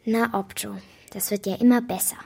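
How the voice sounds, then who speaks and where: A woman's voice: mid-range voice, fairly even intonation, moderate pace, vocal fry, darkish tone, soft background music, natural voice. A woman playing a curious, impatient young girl in a children's radio drama, colloquial and sassy. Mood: annoyed.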